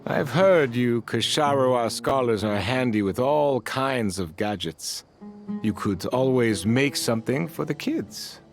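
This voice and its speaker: A man voicing a kind-hearted desert clan chief in a video game, gentle and deliberate, with folksy wording. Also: medium pitch, animated, soft background music. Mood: kindly.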